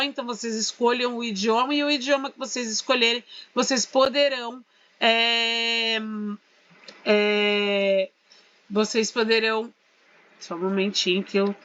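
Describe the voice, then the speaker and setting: A woman's voice: on the high side, bright, forceful tone, lo-fi sound. A Brazilian woman teaching English in a casual walkthrough tutorial, chatty and often checking in with her students. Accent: Brazilian Portuguese. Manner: chatty, checks in with tag questions. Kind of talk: casual screen-share tutorial.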